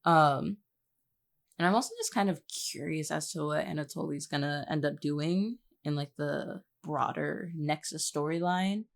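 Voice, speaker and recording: A woman's voice: low-pitched, a little slow, creaky. A woman in a casual chat, talking in a blunt, informal, teasing way. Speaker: podcast co-host, voice actor.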